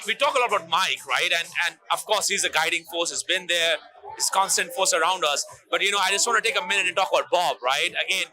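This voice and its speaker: Brief: a man as earnest exec, quick and bright, high-pitched, music under. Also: poor audio. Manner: earnest, hesitant, many "you know"s and restarts. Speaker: company executive. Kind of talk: casual interview answers.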